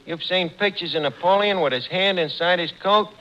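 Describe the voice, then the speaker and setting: A man's voice: sits high, moderate pace, bright, forceful tone. An actor playing a wisecracking, slangy tavern manager who mangles his words in a New York accent, in 1940s radio comedy style. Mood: wry. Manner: slangy, wisecracking, full of malapropisms. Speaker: tavern manager, lead comic. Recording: dull, noisy 1940s radio recording.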